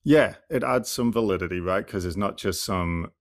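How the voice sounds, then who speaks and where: A man's voice: sits low, normal speed, gravelly. A man hosting a podcast, talking in a thoughtful, rambling way with many fillers and restarts. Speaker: podcast host and clinician. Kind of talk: casual podcast conversation.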